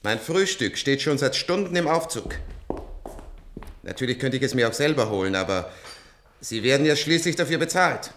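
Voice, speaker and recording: An actor plays an ancient man kept alive by transplants, sardonic and imperious. His delivery is theatrical and highly animated, sweeping up and down with bitter irony. The style is that of a science-fiction radio drama. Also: slight background noise, natural voice. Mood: sardonic, amused. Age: older. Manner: theatrical, chuckling, ironic, drawn-out delivery. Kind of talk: German science-fiction radio play acting.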